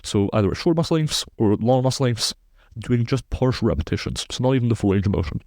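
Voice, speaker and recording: A man's voice: medium pitch, lively intonation, fast talker, darkish tone, no room sound, clean studio sound. A man in his twenties, raised in Belgium, explaining sports science research in a precise, technical way as a podcast guest. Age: 20s.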